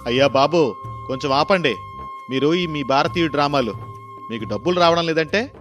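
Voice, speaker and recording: A man's voice: fairly high pitch, animated, normal speed, a bit bright, faint music behind. A man voicing an irritable, dismissive male office peon in a story, scolding impatiently. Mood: irritated scolding. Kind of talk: storybook voice acting.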